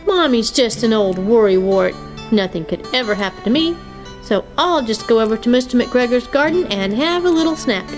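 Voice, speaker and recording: A cocky little boy rabbit, acted by a woman for a children's puppet show. The voice is high and lively, childlike and boastful with a whiny edge. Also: normal speed, faint music behind, close to an everyday voice. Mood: smug, defiant.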